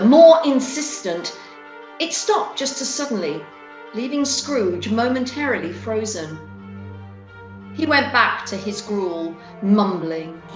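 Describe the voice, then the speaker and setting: A woman narrating a scripted radio play as a dramatic storyteller, leaning theatrically on key words. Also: mid-range voice. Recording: echoey room.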